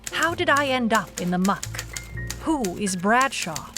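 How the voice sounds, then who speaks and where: A woman's voice: a little high, very animated, measured pace, music in the background. An actress playing a tough, defiant female private eye, narrating a noir audio drama in a cool, clipped manner. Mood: brooding, determined.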